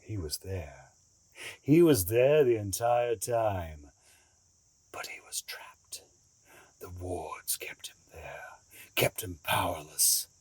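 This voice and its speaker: An actor playing a cunning, calmly menacing escaped asylum inmate in an audio drama, deliberate, theatrical and condescending, with a husky voice. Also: male voice, sits low, no room sound, heavily acted. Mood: fervent, grave.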